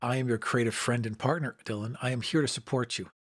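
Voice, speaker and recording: A man's voice: mid-range voice, steady tone, quick pace, husky, no room sound. A man co-hosting a podcast, playfully bantering and telling an improvised story as a tabletop player.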